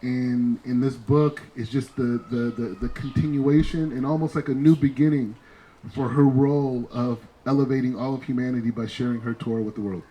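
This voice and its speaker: Brief: mellow-voiced man, earnest host-style introduction and blessing, halting restarts. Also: medium pitch, fairly even intonation, slight background noise, rough recording. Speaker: speaker introducing the author.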